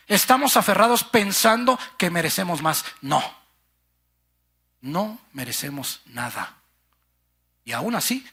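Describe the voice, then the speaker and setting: Impassioned preacher man, high and lively. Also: breathy edge. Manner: impassioned, swinging from shouts to hushed low phrases; addresses listeners directly as brother and sister. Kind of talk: sermon.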